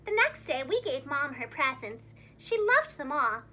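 Very high, bright young woman storyteller. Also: moderate pace, slight background noise. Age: young adult. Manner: expressive, dramatic storyteller delivery. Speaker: preschool teacher and storytime host. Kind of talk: animated read-aloud for young children. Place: small indoor room, seated against a plain wall.

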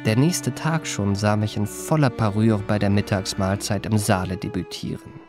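A man's voice: on the low side, moderate pace, natural voice. An actor playing a suave young liftboy and charming con man, narrating his memoirs in a polished, ornate, self-satisfied way in a radio play. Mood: proud, polished. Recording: music behind the voice.

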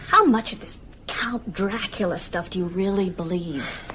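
A woman's voice: mid-range voice, normal speed, fairly bright tone, a little hiss or hum, lightly acted. An actress in a radio drama playing a sceptical, shaken young woman who speaks hesitantly to the man she loves. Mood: hesitant, troubled. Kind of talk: naturalistic radio drama dialogue.

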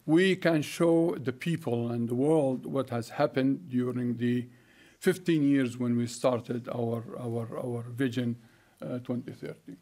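Older man giving a halting keynote. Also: mid-range voice, steady tone, warm tone. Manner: halting, many fillers and restarts. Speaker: keynote speaker. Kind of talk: conference keynote talk. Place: conference hall stage at a lectern. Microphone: lectern microphone.

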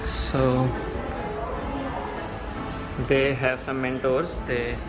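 A man filming a walk-through vlog of an exhibition pavilion, speaking haltingly with many fillers. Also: medium pitch, level tone, over loud music, rough recording. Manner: halting, many uh fillers, non-native phrasing. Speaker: vlogger.

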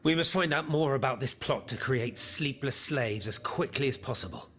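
Man as earnest, mid-pitched French priest. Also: normal speed, fairly bright tone, lightly acted. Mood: imploring, urgent. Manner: earnest, formal. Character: concerned, peacemaking male French priest. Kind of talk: game cutscene voice acting, period drama.

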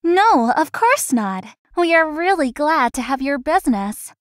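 Polite young flower shop worker, high voice, dry studio sound, visual novel game. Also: female voice, very animated, unhurried, dry recording. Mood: polite, cheerful. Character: polite, hard-working young female florist.

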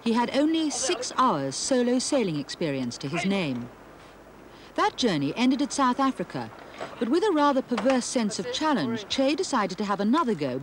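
A woman presenting a television program, reading measured narration and putting questions to a guest. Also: medium pitch. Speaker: host. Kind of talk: scripted documentary narration and studio interview. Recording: faint noise, 1970s television broadcast.

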